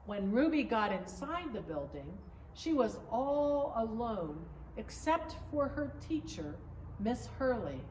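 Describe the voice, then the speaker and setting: A woman reading a children's story aloud in a measured, expressive storytelling manner, recorded at a distance in an echoing room. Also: on the high side. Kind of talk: children's picture-book read-aloud. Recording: roomy echo, distant mic, background noise.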